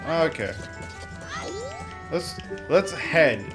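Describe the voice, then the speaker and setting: A man's voice: a little high, expressive, drawn-out words. A man hosting a let's play with casual, rambling gameplay commentary, often interrupting himself with joking asides. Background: video game music.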